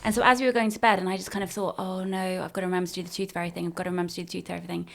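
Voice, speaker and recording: A woman, co-hosting a casual podcast chat and telling a family story with lively quoted voices. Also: fairly low pitch, very fast, creaky. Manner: storytelling, animated when quoting others. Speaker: podcast co-host.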